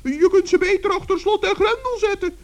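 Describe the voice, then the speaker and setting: A man voicing a cheerful, fussy, kindly little gnome in squeaky falsetto for a children's radio play. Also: fairly quick. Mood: wary, fussy.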